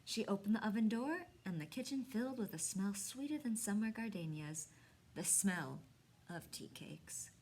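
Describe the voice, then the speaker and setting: Female storybook reader: husky tone, very lively melody, dramatic pauses, hushed emphasis. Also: mid-range voice. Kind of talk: expressive storybook narration.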